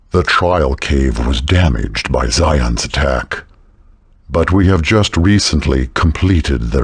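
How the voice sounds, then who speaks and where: A very deep, gravelly dark elder. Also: male voice, no echo, heavily acted. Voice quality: gravelly, husky rasp. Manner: solemn, measured, formal. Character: grave old dark messenger guarding a trial cave. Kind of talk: fantasy game voice acting.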